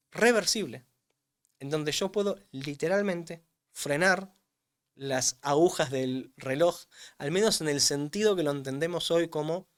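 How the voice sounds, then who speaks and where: A man's voice: on the high side, fairly bright tone, clear, resonant tone. A man, a strength coach who explains things emphatically in an interview, repeating words for weight. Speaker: strength coach for older adults, guest. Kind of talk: interview answers.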